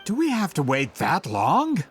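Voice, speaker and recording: Courteous awkward merchant man in a game, highish lively voice, bit hesitant, soft music under. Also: measured pace. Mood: courteous, eager. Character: polite, persistent, slightly awkward travelling merchant man. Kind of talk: English video game voice acting.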